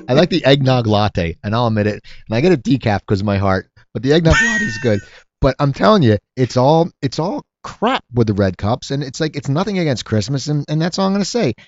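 A man in his late forties from South Jersey hosting a casual interview show, a little nasal, rambling and excitable as he rants. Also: medium pitch. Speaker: podcast host. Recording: dull lo-fi sound.

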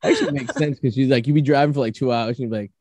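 A man's voice: medium pitch, steady tone, very fast, a little mellow. A man hosting a casual podcast, talking loosely with stumbling restarts and casual swearing. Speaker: podcast host.

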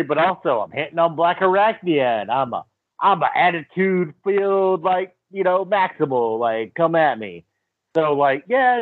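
A man's voice: a little high, no echo. A man co-hosting a casual podcast chat, talking in a jokey, hedging way. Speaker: podcast co-host. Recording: dry phone-like line.

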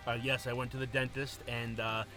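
A man's voice: medium pitch, level tone, fairly quick, bright, forceful tone, soft background music. A man co-hosting a podcast, rambling and swearing casually as he laughs easily. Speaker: podcast co-host.

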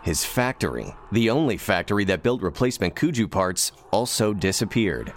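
An actor playing a measured documentary narrator, delivering scripted narration. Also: male voice, lowish voice, big pitch swings.

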